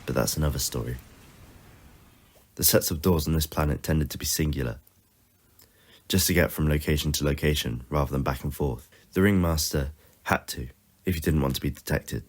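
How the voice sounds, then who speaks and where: A man's voice: a bass voice, normal speed, pauses often. A man reading scripted narration as the narrator of an audio story.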